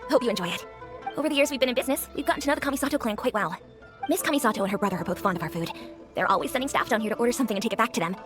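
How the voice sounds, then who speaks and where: Woman playing a quick-talking, chatty restaurant owner. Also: a little high, lively intonation, over music, natural voice. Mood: friendly. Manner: chatty, obliging, confiding. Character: friendly, chatty female neighbourhood restaurant owner. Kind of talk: video game voice acting.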